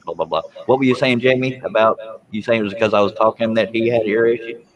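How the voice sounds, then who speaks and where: A man's voice: lowish voice, fast talker, lo-fi sound, crowd noise. A man in his forties hosting a casual online chat, joking and laughing often as he rambles. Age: 40s. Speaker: host.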